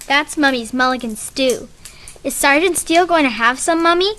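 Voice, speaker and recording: High-pitched, eerily innocent little girl. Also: moderate pace, a bit bright. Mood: innocent, matter-of-fact. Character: eager, innocent-sounding little girl, eerily matter-of-fact. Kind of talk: old-time radio drama acting.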